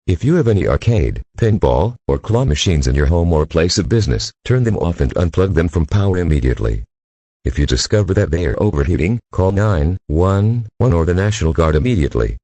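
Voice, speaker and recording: A man with a husky, dark voice reading formal scripted safety instructions as an emergency announcer. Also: deep voice, animated, fairly quick, no echo.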